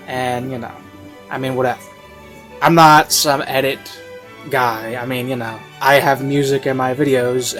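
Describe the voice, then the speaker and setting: A man giving a casual, rambling review, hesitant and conversational, often rephrasing himself mid-thought. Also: mid-range voice. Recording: classical music playing behind. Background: baroque classical music.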